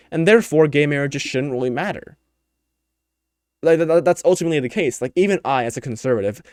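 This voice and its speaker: Young male podcaster, mid-pitch, rambling and opinionated, slightly worn voice, dead-dry recording. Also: a little mellow, no echo. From United States. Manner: rambling, opinionated, many fillers and restarts. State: voice tiring. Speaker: podcast host. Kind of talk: unscripted solo commentary.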